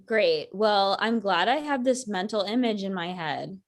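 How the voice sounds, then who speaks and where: A woman's voice: medium pitch, animated, a little slow. A woman acting a blunt, sarcastic ex-girlfriend in her thirties in a conversational comedy script reading. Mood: sarcastic, testing.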